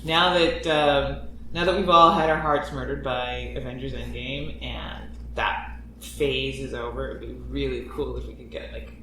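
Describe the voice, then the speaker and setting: A woman voice actor talking casually in a Q&A, joking and animated, with some mild swearing. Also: very deep voice, unhurried. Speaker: voice actor on a panel. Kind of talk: casual Q&A chat. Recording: echoey room, distant mic, faint noise.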